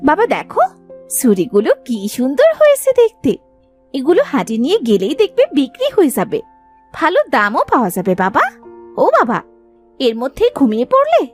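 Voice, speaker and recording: A woman voicing a caring, gently bossy young village daughter in a fairy-tale cartoon, coaxing and fondly scolding. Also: high-pitched, huge pitch swings, a little fast, faint music behind, full character voice. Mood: eager.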